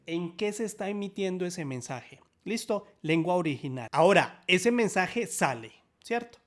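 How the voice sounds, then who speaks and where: A man teaching a sign language lesson in Spanish, upbeat and explanatory, often checking in with quick questions. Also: sits high, animated.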